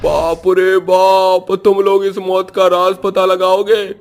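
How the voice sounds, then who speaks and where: A man voicing an alarmed, doubting villager who exclaims in a drawn-out way in an animated horror story. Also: sits high, pitched above the performer's own voice. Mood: scoffing alarm. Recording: music behind the voice.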